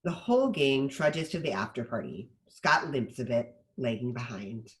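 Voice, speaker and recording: A narrator reading stage directions in an animated way for a screenplay table read. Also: mid-range voice, moderate pace.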